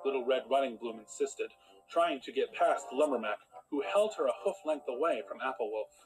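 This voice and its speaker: A measured storybook narrator, played by a man, with a medium-pitched voice. He reads scripted fairytale prose steadily. Faint music accompanies him. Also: animated, natural voice, rough recording.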